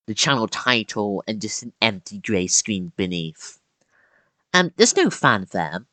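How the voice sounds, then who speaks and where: Video-essay narrator, mid-pitched, lively swings in pitch, dramatic emphasis, casual asides, close dry recording. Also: no room sound.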